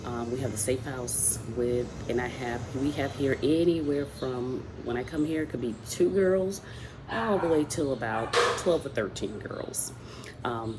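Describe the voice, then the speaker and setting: A woman's voice: very deep voice, heavy background noise. A woman from the Dominican Republic, hosting a casual talk, speaking conversationally with frequent fillers and restarts. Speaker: host. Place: outdoors with roosters and dogs nearby.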